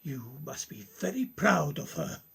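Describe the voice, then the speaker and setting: Man acting a husky, courteous older professor. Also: a little high, very animated, fairly bright tone, heavily acted. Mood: courteous, concerned. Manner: formal, measured old-world courtesy. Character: courteous older male professor, genial and probing. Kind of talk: radio play acting.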